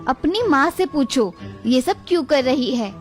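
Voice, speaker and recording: Woman playing a cartoon elder sister-in-law, high voice, firm, cautious, music behind. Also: expressive, a little slow. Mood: firm, stern. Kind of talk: Hindi cartoon story dialogue.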